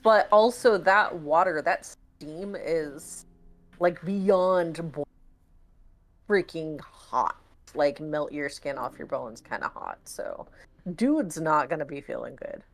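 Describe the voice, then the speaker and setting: A woman's voice: low voice, lively intonation, slow. A woman chatting casually with friends on a live stream, laid-back and chatty. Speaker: co-host voice actor.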